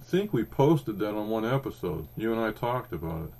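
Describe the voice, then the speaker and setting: A man's voice: fairly low pitch, big pitch swings, moderate pace, gravelly, darkish tone. A man in his fifties with an Oklahoma accent, chatting casually as a podcast co-host, rambling with ums and restarts. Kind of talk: casual chat about comics.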